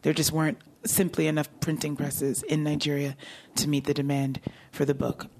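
A woman's voice: deep voice, little pitch movement, normal speed, darkish tone, slight background noise. A woman novelist talking casually about her writing and answering audience questions, laughing often. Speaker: novelist at a book talk. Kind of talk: conversational talk and audience Q&A.

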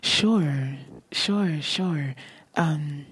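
A woman's voice: deep voice, drawn-out words, breathy edge. A woman novelist talking casually about her writing and answering audience questions, laughing often. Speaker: novelist at a book talk. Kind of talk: conversational talk and audience Q&A.

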